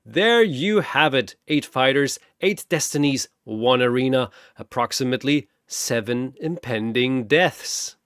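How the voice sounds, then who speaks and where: Guy doing a showy teasing god as ring announcer, big swooping pitch, dry close sound, audio story. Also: animated, a little slow, hardly any pauses, a bit bright, clean tone, no room sound. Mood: showy, mocking. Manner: theatrical, grandiose, teasing. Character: bored, gleefully mocking four-armed almighty god playing arena announcer. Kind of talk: bombastic showman ring announcing.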